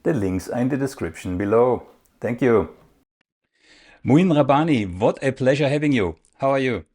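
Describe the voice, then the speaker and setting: A man's voice: medium pitch, animated, normal speed, darkish tone. A man hosting a political interview, making an earnest, emphatic appeal to his viewers before questioning his guest. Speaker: interview host. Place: in the Netherlands.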